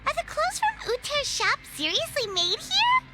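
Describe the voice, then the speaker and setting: A squeaky, excitable little companion sprite, very high and bouncy, over loud game music. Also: female voice, normal speed, full character voice. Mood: indignant. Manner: squeaky, talks about herself in third person. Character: tiny excitable female floating companion. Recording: game audio with music behind.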